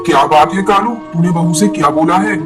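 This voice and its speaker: A man's voice: fairly high pitch, fairly quick, distant mic, loud music behind the voice, lightly acted. A man playing a worried, protective older female bird, a mother-in-law in a cartoon, speaking insistently and fretfully. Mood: alarmed. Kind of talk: Hindi cartoon dialogue.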